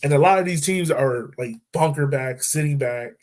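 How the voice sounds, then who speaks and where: A man hosting a casual football podcast, talking in a relaxed, chatty way and laughing easily. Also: a little high, moderate pace. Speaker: podcast host. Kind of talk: casual sports chat.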